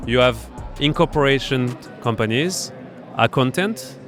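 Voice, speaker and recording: A man with a French accent, a startup accelerator founder being interviewed at a startup event, explaining his business in a halting way full of fillers and restarts. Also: medium pitch, expressive, a bit bright, soft background music. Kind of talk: conversational interview.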